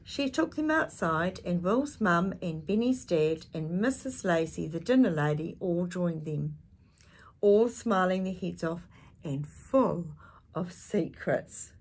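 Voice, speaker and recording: An older woman with a husky voice reading a picture book expressively to young listeners, adding chatty asides and animated tag questions. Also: lowish voice, slow. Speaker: children's storytime reader. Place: small indoor space with curtain backdrop.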